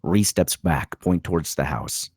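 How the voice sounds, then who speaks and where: A man's voice: low voice, a little slow, darkish tone, husky, no echo, clean studio sound. A man reading screenplay stage directions as scripted narration, in terse, dramatic phrases.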